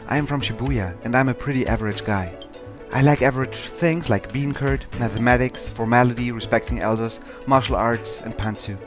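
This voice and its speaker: A man's voice: medium pitch, brisk, natural voice. Guy playing angsty teen anime hero, narrating all earnest and overdramatic, mid voice, faint music behind. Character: average, angsty, lecherous teenage Japanese schoolboy hero. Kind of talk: mock-dramatic visual novel narration.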